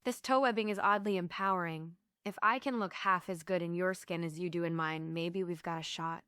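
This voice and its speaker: A woman acting a sassy heroine in a stage comedy. Her voice is crisp and bright, on the lower side, with a wry, deadpan delivery. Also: fairly quick, no room sound, clean studio sound. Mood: teasing. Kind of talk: comic stage play table read.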